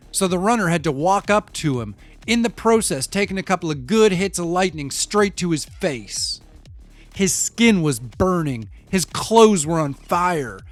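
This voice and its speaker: Man playing a slangy, higher-voiced rattled eyewitness. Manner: casual, slangy, profane, confiding. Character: rattled, defensive young male eyewitness, street-talking and wry. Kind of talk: first-person audio fiction monologue, conversational storytelling.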